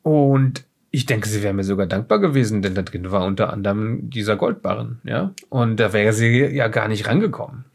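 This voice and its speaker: Gravelly, hesitant shifty safecracker played by a man. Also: on the low side, normal speed, few pauses. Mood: evasive, defensive. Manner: hesitant, rambling, self-justifying with stammered restarts. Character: shifty former safecracker defensively recounting being attacked and kidnapped. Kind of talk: improvised audio drama dialogue.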